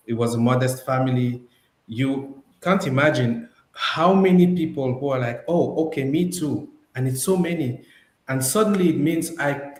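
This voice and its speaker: A man from Cameroon hosting an online panel, speaking in a reflective, encouraging way and sometimes repeating words. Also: mid-range voice. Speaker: host. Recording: echoey room with faint noise.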